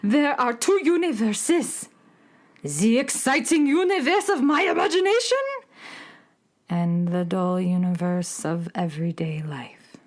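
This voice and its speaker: A woman's voice: mid-range voice, expressive, measured pace, natural voice. A woman playing a melodramatic beatnik cat-girl from the Moon in a children's radio play, with grand, world-weary pronouncements. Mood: weary, resigned.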